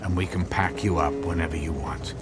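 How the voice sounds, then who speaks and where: A man's voice: deep voice, fairly even intonation, fairly quick, music in the background, natural voice. An actor playing an anxious, cautious husband in a radio drama, hesitant and stammering as he pleads. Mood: worried, pleading. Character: anxious, cautious husband, reluctant inventor of a device.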